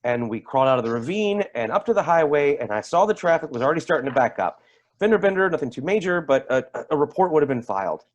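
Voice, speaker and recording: An actor playing a rattled, defensive man giving halting, emphatic testimony in a dramatic staged reading. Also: fairly high pitch, very animated, dull audio. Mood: tense recounting. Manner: halting, emphatic, repeats himself. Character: rattled, defensive man testifying about an eerie deer encounter.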